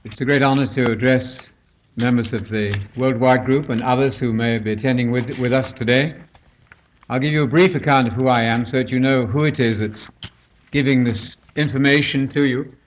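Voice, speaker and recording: An older Englishman with a British accent speaks in a measured, unhurried way as he recounts his own path to faith, dropping in dry, self-deprecating asides. His mid-pitched voice comes through a somewhat dull, lo-fi recording. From England. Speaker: guest speaker. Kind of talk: personal testimony talk.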